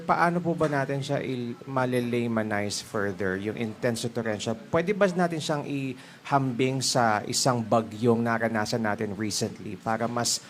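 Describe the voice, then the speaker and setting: Filipino male reporter over room noise. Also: mid-range voice. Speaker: news reporter asking a question. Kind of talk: spoken question at a press conference.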